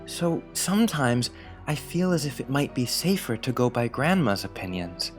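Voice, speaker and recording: An actor playing a serious, polite young schoolboy who speaks earnestly and deliberates aloud in a dramatised audiobook. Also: medium pitch, lively intonation, faint music behind, lightly acted. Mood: earnest, puzzled.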